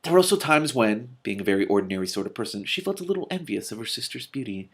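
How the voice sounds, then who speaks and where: A man hosting a read-aloud, giving an expressive, emphatic storybook reading with casual asides. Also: mid-range voice, fast, slightly rough. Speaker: host reading a book aloud with commentary.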